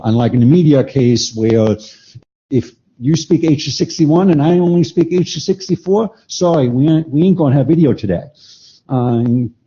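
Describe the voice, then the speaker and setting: A man arguing a technical point at a standards meeting, informal and animated, thinking aloud with fillers. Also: medium pitch, husky. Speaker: meeting participant. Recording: dull lo-fi conference room audio.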